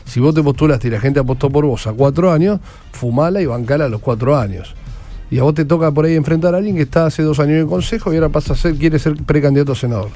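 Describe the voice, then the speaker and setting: Fast-talking Argentine interviewer. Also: male voice, fairly high pitch, dark tone, faint music behind. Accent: Argentine Spanish. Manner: blunt and colloquial, quick questions. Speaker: interviewer host. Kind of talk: casual interview.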